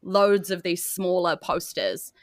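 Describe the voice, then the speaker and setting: A woman's voice: on the low side, takes it slowly, no room sound, studio quality. A woman narrating a tabletop game as game master in a casual, giggly, improvised way. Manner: giggly, informal.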